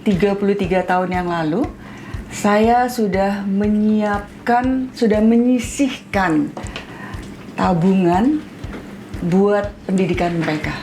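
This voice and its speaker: A woman's voice: medium pitch, takes it slowly, mellow. Woman in her fifties, faint background noise. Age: 50s. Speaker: interviewee, mother of two. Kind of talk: casual street-interview answers.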